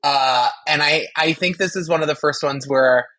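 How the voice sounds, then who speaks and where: A man's voice: a little high, normal speed, pushed and bright. A man co-hosting a podcast in casual chat, with a jokey, emphatic, campy manner. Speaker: podcast co-host.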